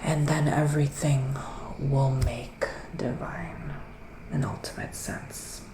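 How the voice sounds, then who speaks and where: A man speaking softly and often whispering in an intimate ASMR roleplay as a gentle psychic witch, with deliberate, soothing pauses. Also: on the high side, reverberant, low-quality recording. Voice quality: soft, breathy, often dropping to a whisper. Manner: slow, deliberate, pausing, soothing delivery. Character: gentle male witch giving a psychic reading. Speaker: ASMR performer playing a psychic tarot reader.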